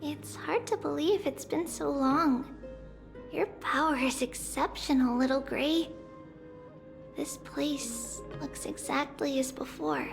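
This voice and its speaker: A woman playing a small, gentle, childlike demigod girl in a video game, speaking in a reflective, unhurried way as if older than she looks. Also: sits high, gravelly, heavily acted. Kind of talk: scripted video game character acting. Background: background music.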